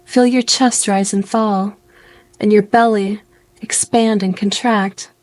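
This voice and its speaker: A woman guiding a meditation in a gentle, soothing way, speaking in slow phrases with pauses. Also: mid-range voice, steady tone, no room sound. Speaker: meditation guide. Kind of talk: guided meditation narration.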